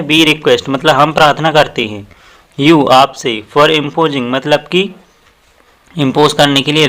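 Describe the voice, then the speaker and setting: A man's voice: a little high, a bit bright. A man with an Indian accent teaching a lesson step by step, explaining and repeating phrases as he goes. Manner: explanatory, repeats phrases, slows down for quoted sentences. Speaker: tutorial teacher.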